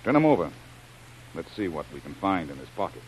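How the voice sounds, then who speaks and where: An actor playing a brisk, confident government agent in an old-time radio adventure, clipped and businesslike. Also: male voice, sits low, very animated, slight background noise. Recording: dull old radio recording.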